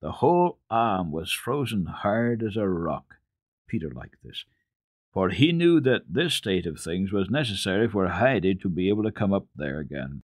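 Low voiced guy reading a kids book like a radio storyteller, very animated and dramatic. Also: moderate pace, dry recording, studio quality. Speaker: storyteller, children's radio host. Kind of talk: dramatic children's book narration.

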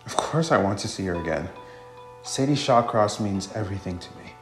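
Man playing a bitter, despairing husband, low voice. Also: lively intonation, fairly quick, natural voice. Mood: despairing, resigned. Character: despairing husband robbed of his wife, bitter and doubtful. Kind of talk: amateur visual novel voice acting. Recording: roomy with faint music.

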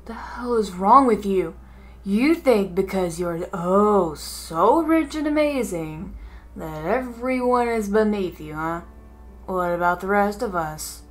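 A woman's voice: mid-range voice, animated, takes it slowly, voice pushed down. A woman voicing an indignant young man in a heated, accusing confrontation.

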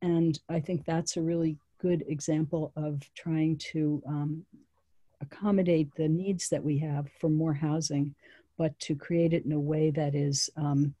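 Measured woman on a video call, dark voice. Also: low-pitched, little pitch movement, dry recording. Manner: measured, frequent ums and restarts. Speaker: town planning official. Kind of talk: public meeting presentation.

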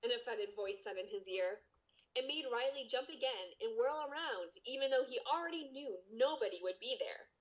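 A woman reads a story aloud as a casual podcast co-host. Her voice sits a little high and moves widely in pitch. She is expressive and playful, slipping easily between narration and banter. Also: moderate pace, low-quality recording.

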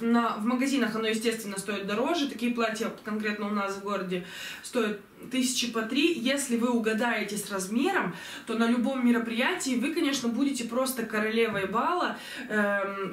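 A young woman reviewing clothes she ordered online, chatty and enthusiastic, slightly hoarse from a cold, filmed at home on a distant camera. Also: fairly high pitch. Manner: chatty, enthusiastic, many fillers. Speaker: fashion vlogger. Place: bedroom at home, indoors. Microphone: camera mic from a distance. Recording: roomy echo, voice from far off.

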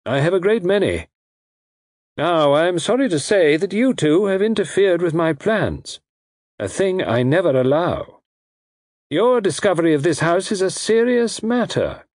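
A man's voice: high-pitched, expressive, measured pace, darkish tone, no echo, studio quality, voice pushed up. A man voicing an unseen villain in an audiobook, coldly menacing yet formally polite, precise and mock-regretful. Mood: suave menace.

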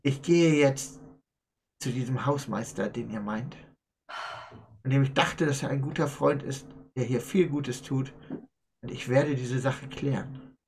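A man's voice: medium pitch, unhurried, long pauses, darkish tone, slightly rough, voice pushed down. A man playing a stern, determined school authority figure in a tabletop game, speaking bluntly and with weight. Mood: grimly resolved.

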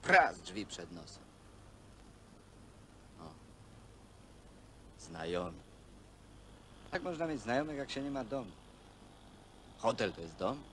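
Niski głos samotnego robotnika, szum w tle. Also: male voice, fairly low pitch, very animated, takes it slowly, pauses often, fairly bright tone, exaggerated voice. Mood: bitter. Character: lonely, bitter young hostel worker longing to belong. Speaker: film actor. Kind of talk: naturalistic film drama dialogue. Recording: noisy old film soundtrack.

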